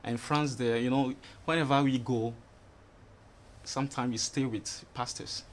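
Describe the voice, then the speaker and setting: A man's voice: mid-range voice, fairly even intonation, unhurried. Rambling young Ghanaian man, faint noise. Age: 20s. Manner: rambling, fills with "you know" and "you understand". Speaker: interview guest. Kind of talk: studio interview answers. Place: TV studio set.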